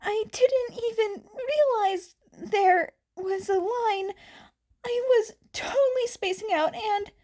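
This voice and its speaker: A jittery, apologetic teenage boy who trips over his words in a breathless, strained rush. The voice climbs far above the reader's own mellow tone, as a woman acts him out. It belongs to a homemade audio story. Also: slow. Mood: flustered apologetic. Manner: breathless, stammering, high and strained. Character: flustered anxious teenage boy, shy and apologetic.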